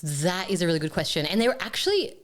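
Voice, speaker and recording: Hesitant woman, low-pitched. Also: sing-song, quick pace. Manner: hesitant, many restarts and laughs. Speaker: podcast guest. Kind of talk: casual chat.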